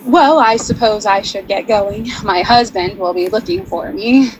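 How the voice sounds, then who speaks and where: An actress playing an earnest, shy young married artist in a radio drama, speaking hesitantly and apologetically. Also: female voice, mid-range voice, measured pace, noisy background, natural voice. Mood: self-effacing.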